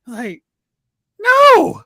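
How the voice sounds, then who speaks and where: A man hosting a casual panel chat in a slangy, animated way. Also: very high-pitched, very slow, no room sound, studio quality. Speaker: podcast host. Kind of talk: casual panel chat about pop culture.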